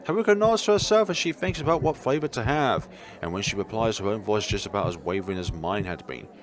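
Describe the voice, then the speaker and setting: A man's voice: fairly low pitch, expressive, fast. A man reading visual novel narration aloud as a let's player, theatrical but stumbling over words and breaking into jokey asides. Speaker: video game let's player. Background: game music.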